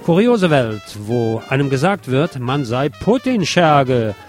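A man's voice: medium pitch, big pitch swings, takes it slowly. A middle-aged man reading a scripted satirical radio column with ironic, theatrical emphasis. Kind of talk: scripted satirical commentary and literary reportage. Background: music behind the voice.

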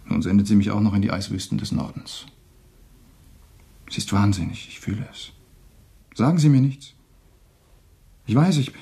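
An actor playing a bitter, self-sacrificing lover in a German radio drama, anguished and melodramatic. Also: male voice, lowish voice, gravelly, dark tone, husky, full character voice.

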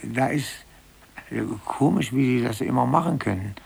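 An actor in a 1950s German radio play, playing an older, humiliated office clerk with a gravelly, husky voice and a brooding, halting manner. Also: male voice, medium pitch, lively intonation, heavily acted. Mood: brooding, earnest.

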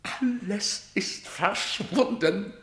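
An actor playing a grim messenger of defeat in a radio drama, declaiming solemn stage verse with a rhetorical manner. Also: male voice, high-pitched, gravelly, a bit bright, roomy sound, heavily acted. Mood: grief-stricken, subdued. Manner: solemn, rhetorical, measured delivery. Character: grim male battle messenger bearing news of catastrophic defeat.

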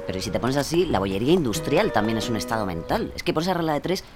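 An insistent low-voiced Spanish friend, animated. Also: female voice, very fast. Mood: argumentative. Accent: Spain. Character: insistent, argumentative female friend with odd theories. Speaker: co-host and actor. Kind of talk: colloquial scripted audio comedy. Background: music.

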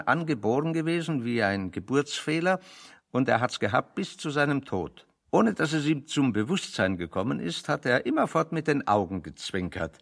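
An actor playing a bookish, wry refugee intellectual in a radio play, expounding philosophy in a pedantic, dryly ironic way. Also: male voice, medium pitch, creaky, fairly bright tone. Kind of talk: radio play dialogue, discursive monologue.

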